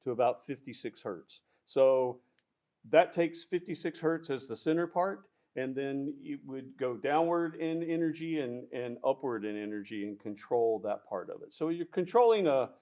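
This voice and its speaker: Man explaining speaker specs with halting fillers. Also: medium pitch, warm tone. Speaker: audio product specialist. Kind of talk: technical product discussion.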